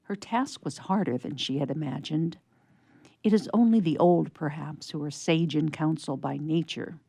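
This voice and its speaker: A woman's voice: sits low, normal speed, gravelly, dark tone, breathy edge, no echo, studio quality. A woman narrating a scripted story for radio theatre in a storybook style.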